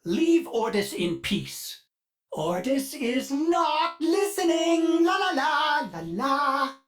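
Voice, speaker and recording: A man's voice: extremely high pitch, big pitch swings, slow pace, full character voice. An actor playing a polite, fussy male ship AI in a video game who speaks of himself in the third person, heard through a glitchy robotic voice filter. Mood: disdainful. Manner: sudden dark glitched outbursts.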